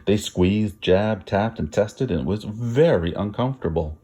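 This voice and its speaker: A man reads a children's storybook aloud in a very animated voice. His pitch moves widely, and his phrasing is dramatic and emphatic. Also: a little slow. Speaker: storybook reader. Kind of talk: expressive children's story narration.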